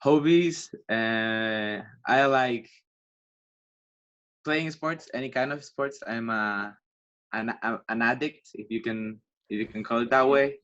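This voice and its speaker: A young man from Spain with a Spanish accent, speaking English as a second language as a student guest in a casual podcast chat, with a halting way of talking and many restarts. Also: medium pitch, fairly bright tone, muffled recording. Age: young adult.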